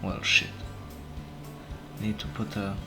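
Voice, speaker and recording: A man whose first language is not English, casually commenting on his game as he plays, unhurried and thinking aloud, often correcting himself. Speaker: let's play gamer. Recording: game music playing behind. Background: game music.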